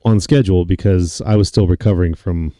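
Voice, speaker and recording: A man hosting a casual podcast chat, his voice deep and raspy from a cold, rambling and self-mocking. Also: steady tone, brisk, mellow, no room sound, studio quality. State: hoarse from a cold. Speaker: podcast host.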